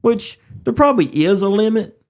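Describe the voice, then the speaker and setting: A man's voice: high-pitched, expressive, normal speed, a little mellow. A man co-hosting a podcast, talking casually about pop culture with friends. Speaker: podcast co-host.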